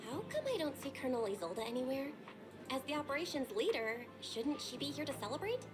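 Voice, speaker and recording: A woman's voice: high-pitched, lively intonation, quick pace, music in the background. A woman voicing an earnest, polite and determined young female investigator in a video game. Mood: serious, concerned.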